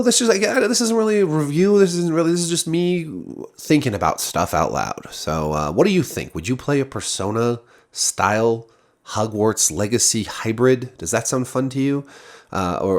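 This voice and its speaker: A man's voice: medium pitch, animated, normal speed. A man with a stuffy, nasal voice, musing aloud about games in a rambling, casual way to his viewers. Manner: rambling, many restarts and fillers. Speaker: video creator. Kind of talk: casual unscripted musing to camera.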